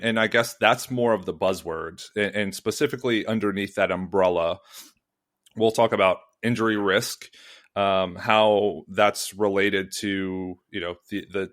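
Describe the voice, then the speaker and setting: Male podcast host, very low voice, loose and chatty, lots of ums. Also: a bit bright. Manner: rambling, many restarts and fillers. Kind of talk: podcast interview.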